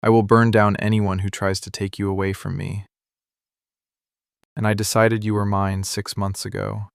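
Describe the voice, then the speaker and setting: Guy doing a mafia boss boyfriend asmr, low gravelly voice, slow n intense, possessive, super dry close mic. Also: flat delivery, dry recording. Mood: cold, possessive. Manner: slow, deliberate, intense, hushed confiding delivery. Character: possessive, menacing mafia boss in love, adult man. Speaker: ASMR voice actor. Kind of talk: intimate boyfriend ASMR roleplay. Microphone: close studio microphone, dry.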